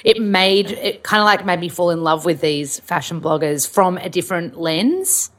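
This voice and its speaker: A woman hosting a casual interview, with a fairly low voice. She keeps things friendly, backing her guest up often as she asks questions. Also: moderate pace. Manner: friendly, affirming, lots of "yeah" and "totally". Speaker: podcast host.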